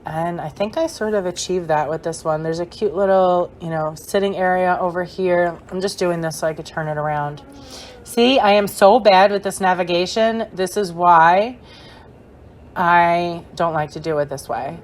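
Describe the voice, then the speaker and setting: A young woman with a hoarse, fading voice, giving a chatty, rambling gameplay tour voice-over with many fillers. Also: on the low side, moderate pace, slight background noise. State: hoarse, losing her voice. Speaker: gaming creator.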